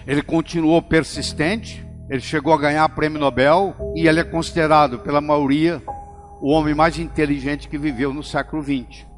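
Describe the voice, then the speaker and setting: An older Brazilian man delivers a motivational talk, stressing key phrases by slowing down on them. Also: fairly high pitch, steady tone. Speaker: motivational speaker. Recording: long hall reverb with faint music. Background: faint background music.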